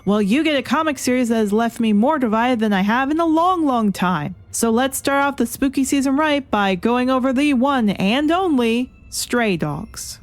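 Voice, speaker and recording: A woman's voice: fairly high pitch, lively intonation, moderate pace, few pauses. A woman hosting a review show, reading a scripted video essay with a dramatic, emphatic storytelling delivery. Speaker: host of a comic review show.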